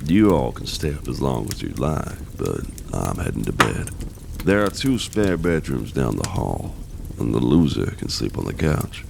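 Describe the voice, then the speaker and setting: An actor playing a gruff, grumpy old mercenary mentor in an audio drama, teasing and gravelly, with a fatherly streak. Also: very deep voice, very animated, normal speed, background noise, full character voice. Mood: grim. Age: older.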